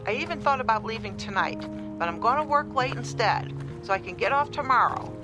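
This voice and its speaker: Audio drama mom: actress as caring, eager mother, natural dialogue, very lively pitch movement, music underneath. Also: brisk, close to an everyday voice. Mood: earnest.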